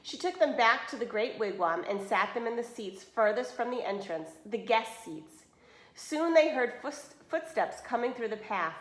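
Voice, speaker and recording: A woman's voice: fairly high pitch, animated, moderate pace. Lady doing storytime read aloud, super up n down voice, explains stuff, echoey room. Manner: explains words plainly to listeners. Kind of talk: expressive picture-book reading with explanatory asides. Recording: roomy, echoey indoor sound.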